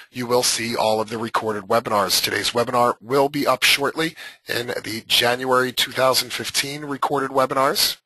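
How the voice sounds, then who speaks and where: A man hoarse from laryngitis, with a scratchy voice, presenting a live training webinar in a conversational, hesitant way with many filler sounds. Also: medium pitch, bright, forceful tone, no room sound.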